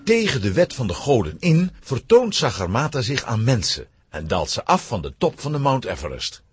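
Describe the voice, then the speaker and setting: A man narrates a scripted children's story with dramatic flair. His husky voice moves in lively swoops and sounds dry and close. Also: mid-range voice, normal speed, no room sound.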